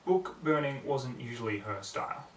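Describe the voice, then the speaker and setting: Guy reading a story out loud, mid pitch, roomy echo, bit far from the mic. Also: moderate pace. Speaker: amateur audiobook reader. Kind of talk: scripted story narration. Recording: roomy, distant mic, faint background noise.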